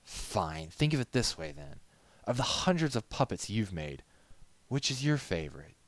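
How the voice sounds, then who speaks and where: A man's voice: lowish voice, big pitch swings, moderate pace, dry recording, voice pushed up. A man voicing an anxious, lovestruck pony glassblower in a storybook reading, with an earnest manner.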